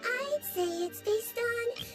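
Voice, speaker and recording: A woman playing a polite, composed fae nurse in human form in a video game, speaking in a calm, measured, matter-of-fact way. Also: extremely high pitch, full character voice. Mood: calm, earnest. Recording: game music behind the voice.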